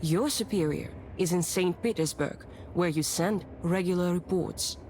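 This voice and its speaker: Imperious young lady, visual novel, haughty, assertive, sharp-witted, lowish pitch, faint train ambience. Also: unhurried, slight background noise, rough recording. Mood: assertive, demanding. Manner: haughty, assertive, crisp commands.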